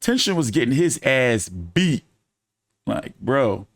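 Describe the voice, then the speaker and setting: A man's voice: medium pitch, very animated, takes it slowly, creaky, no echo. A man hosting a casual fight-commentary live stream, talking in loose, profane, joking banter. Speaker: boxing commentary host.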